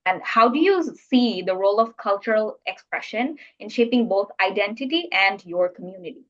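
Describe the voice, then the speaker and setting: A woman hosting an interview, asking measured questions with slight hesitation. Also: mid-range voice, lively intonation, a bit bright. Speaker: interviewer, host. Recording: dull, muffled sound.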